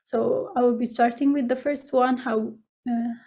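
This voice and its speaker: A woman's voice: fairly high pitch, fairly even intonation, normal speed, warm tone. A young woman with an Ethiopian accent giving an informal online presentation, hesitant and often repeating her words. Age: young adult. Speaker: scholarship student presenter. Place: online video meeting.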